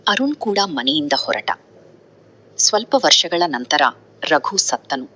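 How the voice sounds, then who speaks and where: Woman narrator for moral tales: very low register, bright, animated. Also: unhurried, breathy edge. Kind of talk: scripted moral story narration.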